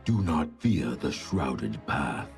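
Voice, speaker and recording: Casting: ominous male shadow ninja for a game, bottomless low voice, slow solemn delivery. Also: very animated, soft background music, exaggerated voice. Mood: cold, ominous. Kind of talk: video game voice lines.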